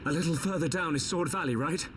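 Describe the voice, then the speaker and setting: A man's voice: fairly high pitch, quick pace, distant mic, background noise, close to an everyday voice. A man playing an earnest young hero in a fantasy video game cutscene.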